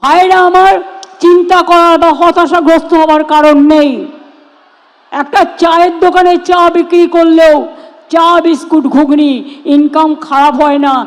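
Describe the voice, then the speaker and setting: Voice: extremely high pitch, steady tone, moderate pace. A performer voicing an earnest television career adviser giving a motivational speech in a cartoon, with long reverb. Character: reassuring career advice speaker on television.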